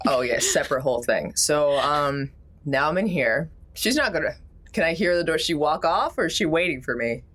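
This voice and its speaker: Female tabletop player, notably low voice, casual reactive podcast chat. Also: expressive, normal speed, a bit bright, slightly rough.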